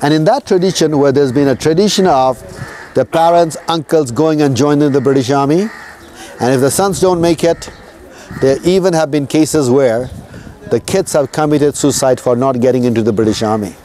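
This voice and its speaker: A man from Nepal works as a tour guide and recounts history to his group in a loose, chatty way. He keeps asking "right?" to check his listeners are with him. His voice is mellow and sits a little high, with faint street and bird sounds behind him outdoors. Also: normal speed, a little hiss or hum. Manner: conversational, asks listeners "right?" often. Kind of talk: casual spoken history talk to tourists.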